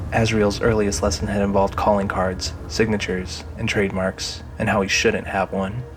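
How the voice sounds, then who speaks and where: A man reading scripted, dramatic narration for an audio drama. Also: fairly low pitch. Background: music.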